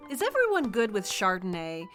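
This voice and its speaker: A woman's voice: medium pitch, wildly swooping pitch, a little fast, faint music behind. A woman playing a gracious, teasing, welcoming wife hosting guests in a comedic audio drama. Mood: hospitable. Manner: warm, teasing, hospitable.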